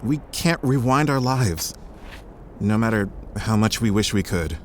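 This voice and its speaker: A blunt scientist in an audio drama, his voice a little lower than most men's. He talks in a dry, matter-of-fact way that still shows he cares. Faint noise hums under the line. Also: animated, unhurried. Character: blunt middle-aged male lab doctor, gruff but caring. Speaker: scientist character.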